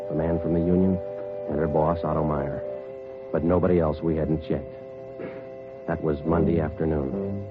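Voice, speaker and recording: A man's voice: low voice, close to an everyday voice. An American man acting a terse, dogged police sergeant in a 1950s radio drama, clipped and matter-of-fact. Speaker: detective and narrator. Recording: muffled vintage radio recording, music behind.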